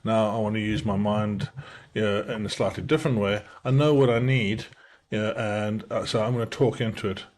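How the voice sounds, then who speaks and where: Fast-talking South African man. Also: lowish voice, fairly even intonation, darkish tone. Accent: South African, softened by decades in the UK. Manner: fast-talking, with many restarts and fillers. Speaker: entrepreneur demonstrating software. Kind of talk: casual screen-share tutorial. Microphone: webcam in a small circle over the screen recording.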